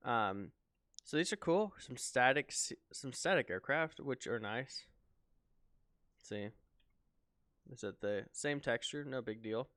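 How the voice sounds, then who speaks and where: Man, casual gameplay commentary, rambling, slightly raspy, smooth resonant tone, dry close sound. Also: medium pitch, no echo. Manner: relaxed, rambling, lots of ums. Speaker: flight sim video host.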